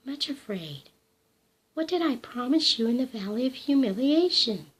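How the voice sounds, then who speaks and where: A gentle, strong-hearted shepherd speaks slowly and reassuringly, his words cheerful and calm, as voiced by a woman reading aloud. He comforts rather than commands. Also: sits high, husky, pitched above the performer's own voice, rough recording. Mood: kind. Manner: slow, kind, reassuring.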